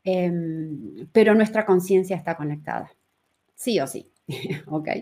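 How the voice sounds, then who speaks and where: A woman's voice: lowish voice, lively intonation, takes it slowly, a little mellow. A woman with a Uruguayan accent teaching in a conversational live talk, repeating phrases for emphasis and often checking in with her listeners. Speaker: human design teacher.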